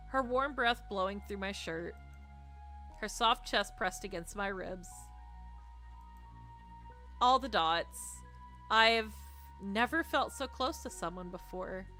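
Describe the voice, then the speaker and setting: Bright-voiced woman reading a story aloud. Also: fairly high pitch. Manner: expressive, teasing asides. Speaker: streamer. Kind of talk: live visual novel read-aloud with playful asides. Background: music.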